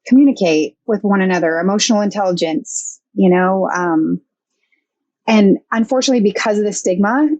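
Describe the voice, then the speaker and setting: A young woman, a counseling intern and guest, talking thoughtfully with many pauses and fillers. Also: medium pitch, a little mellow, dry recording. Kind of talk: casual podcast interview.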